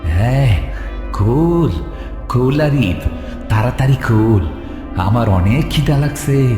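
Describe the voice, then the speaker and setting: A man playing a hungry, menacing shape-shifting ghoul posing as a village watchman, with a rural Bangladeshi Bengali accent, in an animated horror story. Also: mid-range voice, slow, over music. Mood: impatient, hungry.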